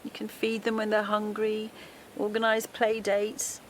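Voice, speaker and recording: A woman acting as a middle-aged mum in a radio play, worn down by worry. Her mid-pitched voice is confiding and strained, with clear background ambience around it. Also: completely flat delivery, unhurried, a little hiss or hum, close to an everyday voice. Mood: weary self-doubt. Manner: weary, confiding, emotionally strained. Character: Alice, a worn-out, worried mother of a teenage son. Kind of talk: naturalistic audio drama acting.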